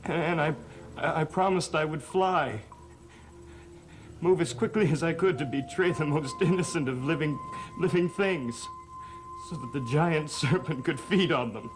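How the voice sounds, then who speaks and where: Loud music sits behind an actor as a haunted man in a gothic soap opera. His voice is fairly high for a man and slow. He halts and stammers as if confessing, gentle and weighed down by guilt. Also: lightly acted, rough recording. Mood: wistful, anguished.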